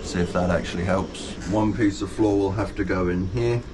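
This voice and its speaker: A middle-aged man talks through his boat repairs to a close handheld camera, his voice on the low side. He rambles practically and teases himself for waffling. Workshop noise is clearly audible around him. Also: steady tone, normal speed. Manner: rambling, self-deprecating, practical explaining. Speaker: boat renovation vlogger. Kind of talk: casual vlog chat. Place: inside a boat cabin under renovation. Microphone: handheld wide-angle camera close up. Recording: noisy boat workshop ambience.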